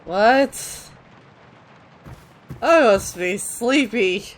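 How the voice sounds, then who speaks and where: Woman giving animated casual gaming commentary. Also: fairly high pitch, very slow, slight background noise. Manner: sweary, informal. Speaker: let's play host.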